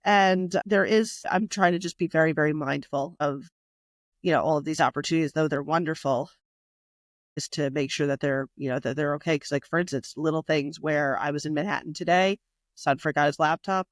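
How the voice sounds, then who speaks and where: A woman's voice: sits low, a little fast, dry recording, clean studio sound. A woman guest in a casual podcast interview, talking in a rambling way with many fillers and restarts. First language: not Italian. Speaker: magazine publisher and guest.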